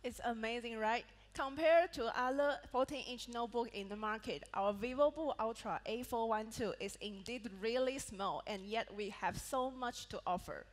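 A woman's voice: fairly high pitch, moderate pace, few pauses. Malaysian woman presenting on a reverberant stage. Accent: Malaysian English. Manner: reads prepared product points with small slips and restarts. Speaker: product manager presenting a laptop launch. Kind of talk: product keynote presentation. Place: large event hall on a stage. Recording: reverberant event hall sound.

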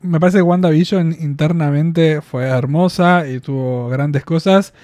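A young Argentine man gives a casual spoken review into a boom mic in a small room. His voice sits a bit high but mellow, and it sounds dry and close. He is chatty, laughs often and stretches out his fillers. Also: a little slow, no echo. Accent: Argentine Spanish. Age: 20s. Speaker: reaction video host. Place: small room in front of packed collectible shelves. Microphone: studio mic on boom arm, headphones on.

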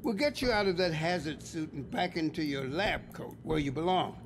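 An actor playing an older male scientist who warmly leads a lab, heard as game audio under live commentary. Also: a little high, animated, moderate pace, over music. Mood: welcoming. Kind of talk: video game voice acting.

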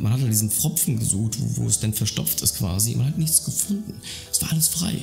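A man's voice: medium pitch, gravelly, a little mellow. A man in his thirties talking earnestly to camera from a dim room at home, rambling with restarts and fillers as he explains things. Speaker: YouTuber talking about mental health. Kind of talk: casual explanatory monologue to camera.

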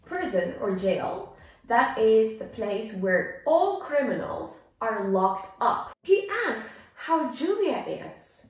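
A young woman teaching English, reading a simplified story and explaining it with deliberate, demonstrative enunciation for learners. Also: a little high, big pitch swings. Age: young adult. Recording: echoey room, music behind.

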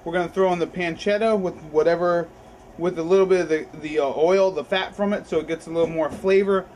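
Young male vlog host, easygoing kitchen chatter, slightly high voice, camera audio. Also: steady tone, quick pace, darkish tone, a little hiss or hum, poor audio. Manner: chatty, loose and enthusiastic. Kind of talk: casual cooking vlog. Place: home kitchen.

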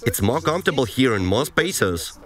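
A man's voice: mid-range voice, big pitch swings, moderate pace, a crowd in the background. A young man chatting casually as he shows off a homemade tent in a forest, recorded on a camera mic. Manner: chatty, showing off his build. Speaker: vlogger. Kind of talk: casual outdoor DIY vlog.

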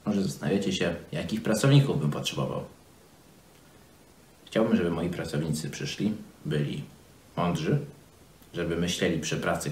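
A man speaks in a low voice that moves up and down in a lively way. He explains things simply, as a storyteller for children, with halts along the way. The room around him echoes clearly. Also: a little hiss or hum. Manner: halting, simply worded, explanatory. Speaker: presenter telling a Bible story to children. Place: small office, seated at desk. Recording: roomy indoor echo.

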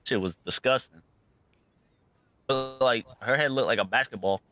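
A man's voice: mid-range voice, moderate pace, sharp tone, soft background music. A young man chatting over voice chat during a game, rambling in a goofy way, half to himself. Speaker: friend in a game party. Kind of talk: casual gaming chat.

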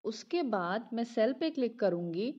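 A woman hosting a finance channel, giving a steady, step-by-step scripted explanation. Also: on the high side, normal speed. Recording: roomy, slightly dull home recording.

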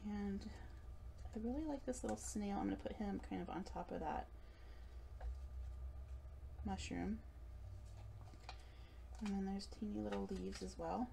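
A woman's voice: mid-range voice, unhurried, gravelly, pauses often, dark tone, slight background noise. A woman in her late thirties giving a live craft demonstration, chatty and giggly with self-deprecating asides, recorded at a table under an overhead camera. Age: late 30s. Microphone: overhead camera above table.

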